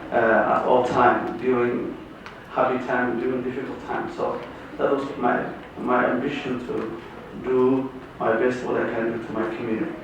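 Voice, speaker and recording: An older man from Somalia with Somali as his first language, giving an emotional award acceptance speech in halting English full of pauses and fillers. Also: mid-range voice, little pitch movement. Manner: halting, many pauses and fillers, loud bursts. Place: large conference hall. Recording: echoey hall from far off, noisy.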